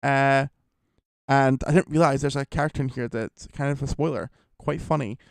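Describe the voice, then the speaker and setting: A man's voice: mid-range voice, steady tone, normal speed, vocal fry, no echo, studio quality. A man giving a casual, rambling film review, full of fillers and restarts.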